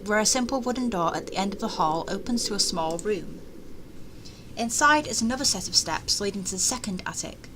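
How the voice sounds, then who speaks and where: Woman doing a nervous superstitious estate agent girl, visual novel monologue, dramatic, bright, bit of noise. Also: medium pitch, low-quality recording. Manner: dramatic, uneasy inner monologue. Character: anxious superstitious young woman estate agent in haunted mansion. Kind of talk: visual novel voice-over reading.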